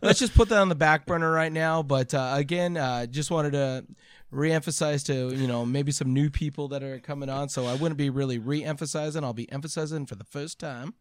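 Higher-pitched man, jokey podcast host. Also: steady tone, brisk, no echo. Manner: jokey, rambling, playful teasing. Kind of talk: casual jokey podcast chat.

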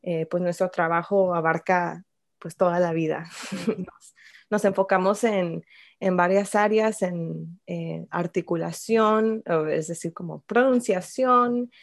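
A woman from Chicago, a bilingual speech-language therapist, answering interview questions in an unhurried way with many fillers and small laughs. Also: lowish voice, gravelly. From Chicago, United States.

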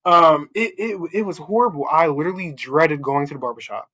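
A young man with a somewhat high, bright, tense voice speaks casually, full of "like" and "right?". The recording sounds dull and lo-fi. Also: fairly quick. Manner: chatty, heavy use of "like" and "right?". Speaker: panel speaker. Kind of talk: casual personal storytelling.

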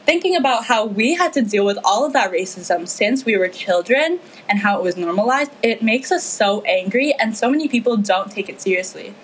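A woman's voice: mid-range voice, animated, a little fast, hardly any pauses, a little hiss or hum, rough recording. A teenage girl from the Philippines talking earnestly and with feeling in a casual discussion, with frequent fillers. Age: teens. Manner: earnest, impassioned, many fillers.

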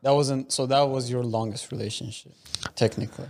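A man co-hosting a podcast, prodding his friend with questions and quick quips in casual chat. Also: mid-range voice, fairly even intonation, vocal fry, a little mellow. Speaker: podcast co-host.

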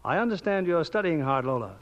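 Higher-voiced 1940s male radio host. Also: animated, quick pace. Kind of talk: 1940s radio announcing, scripted interview. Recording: dull old radio broadcast.

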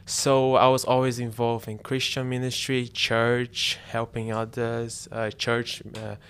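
A young man from Brazil with a Brazilian Portuguese accent, a university student speaking English as a second language, telling his faith story in a relaxed, halting way. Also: mid-range voice, smooth. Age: young adult. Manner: relaxed, halting non-native phrasing. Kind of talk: casual faith testimony chat.

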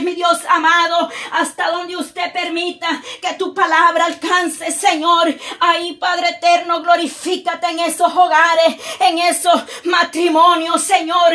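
A woman with a very high voice leads an impassioned prayer and calls out again and again. She keeps almost to one fixed note and draws her phrases out slowly, shouting much of the time. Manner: impassioned, repetitive invocations, often shouting. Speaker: prayer leader. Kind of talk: fervent spoken prayer.

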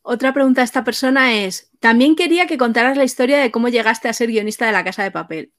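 A woman's voice: fairly high pitch, fast talker. Casual woman hosting an interview. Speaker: host reading audience questions. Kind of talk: casual interview, reading out questions.

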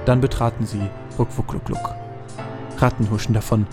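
A man's voice: mid-range voice, level tone, normal speed, over music. A man narrating a scripted fantasy audio drama as a storyteller.

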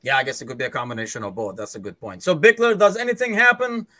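Questioning host, dry and close. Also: male voice, medium pitch, fast, sharp tone, dry recording. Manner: inquisitive, loose, thinks aloud with fillers. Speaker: podcast host. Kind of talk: casual sports chat.

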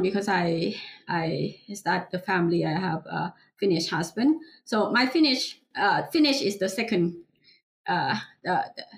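A woman with a Thai accent speaks slowly in a podcast interview. She pauses often and fills the gaps with short fillers as she gathers her thoughts. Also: medium pitch. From Thailand. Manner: hesitant, many fillers, chuckles lightly. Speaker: heritage language teacher. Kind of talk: interview answer.

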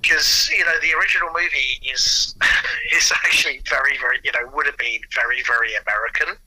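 Husky, very bright-voiced British man. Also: medium pitch, a little fast, low-quality recording. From Britain. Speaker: interview guest, audio producer and actor. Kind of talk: casual interview chat.

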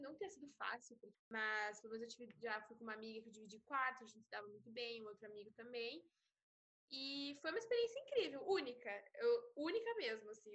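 A woman's voice: a little high, fairly bright tone. A young woman with a Brazilian accent telling stories in a casual, chatty way as a podcast guest, acting out little quotes with slangy fillers. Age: young adult.

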